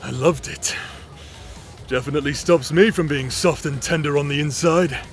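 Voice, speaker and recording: A man playing a brash, gleeful, reckless brawler, boisterous and excitable, in an audio roleplay. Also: fairly high pitch, normal speed, faint music behind, natural voice. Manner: boisterous, excitable, whiny when slighted.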